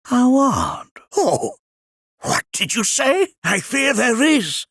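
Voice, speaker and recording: An actor voicing a wise, kindly old scholar in a video game, with a raspy, aged voice and a ponderous, formal manner. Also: male voice, extremely high pitch, wildly swooping pitch, takes it slowly, fairly bright tone, no echo, studio quality, heavily acted. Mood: concerned, curious. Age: older. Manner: ponderous, formal, archaic storyteller phrasing. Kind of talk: video game character voice acting.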